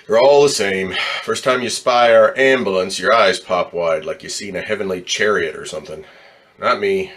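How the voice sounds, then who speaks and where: A jaded, streetwise ambulance driver played by a man in an old-time radio drama. The voice is lowish and forceful, with a gruff, slangy, wisecracking delivery full of cynicism. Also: moderate pace. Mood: wry, jaded.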